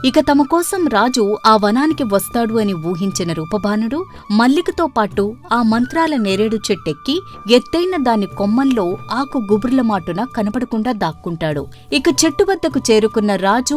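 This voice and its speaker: A woman's voice: fairly high pitch, animated, normal speed, faint music behind. A woman narrating a scripted children's story as the storyteller.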